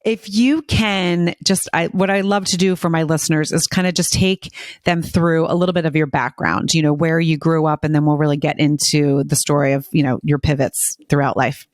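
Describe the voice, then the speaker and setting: A woman's voice: deep voice, steady tone, moderate pace, no room sound, studio quality. A woman hosting a podcast, chatty and enthusiastic as she introduces and interviews a guest. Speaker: podcast host.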